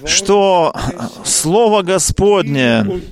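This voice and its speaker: A man giving a live interpretation of a sermon, speaking haltingly and restarting phrases mid-sentence. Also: high voice, animated.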